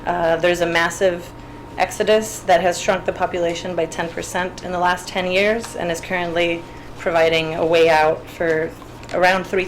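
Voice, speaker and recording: A woman's voice: lowish voice, low-quality recording. Hesitant Puerto Rican woman on a panel. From Puerto Rico. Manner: hesitant, many restarts and fillers. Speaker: panel speaker, artist. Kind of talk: spoken panel talk. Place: event room. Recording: faint background noise.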